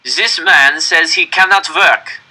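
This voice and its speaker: A man reading aloud voices a cold, merciless officer who speaks in slow, deliberate, loud pronouncements. The tone is sharply bright. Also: on the high side, voice pushed up, exaggerated voice, poor audio. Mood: menacing. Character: cold, cruel male Nazi officer.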